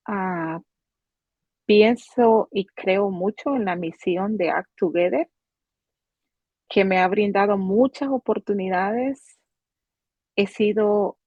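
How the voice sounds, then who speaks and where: A woman guest answering interview questions in a slow, deliberate way, pausing to search for words. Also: medium pitch, husky, no echo. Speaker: guest, community member. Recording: dull lo-fi recording.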